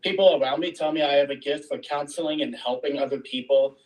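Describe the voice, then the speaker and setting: A man's voice: fairly high pitch, fast talker, darkish tone, far from the mic, low-quality recording. A man in an online workshop earnestly explaining why he wants to communicate better. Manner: earnest, thinks aloud with fillers. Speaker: workshop participant. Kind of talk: casual personal sharing.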